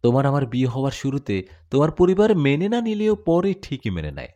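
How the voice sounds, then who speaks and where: Devoted warrior jinn acted by a male storyteller, earnest and confessional, mid-range, very expressive melody. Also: medium pitch, fairly quick, close to an everyday voice. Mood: tender, nostalgic.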